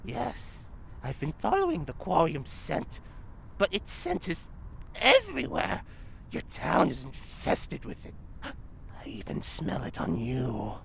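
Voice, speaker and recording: A woman voicing a creepy, ravenous old demon woman in an audio drama, with ominous, theatrical, archaic phrasing and a gravelly creak. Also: low-pitched, huge pitch swings, slow, a little hiss or hum, full character voice. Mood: ominous, hungry.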